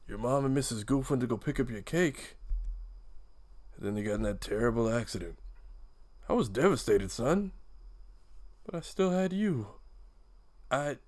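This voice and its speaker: A man performs a gruff old widowed dad in a cartoon drama. He speaks bluntly, and his pitch moves around a great deal from line to line. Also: darkish tone, natural voice. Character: Pete, gruff sarcastic old widowed father.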